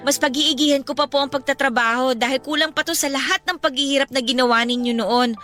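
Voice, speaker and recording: A determined, loving young Filipino daughter in radio drama style. Her voice is very high and piercingly bright, with an earnest, sentimental manner. Soft music runs underneath. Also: fairly even intonation, fast. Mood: determined, affectionate. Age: young adult. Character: devoted, determined grown daughter, respectful to her mother. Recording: music bed behind the voice.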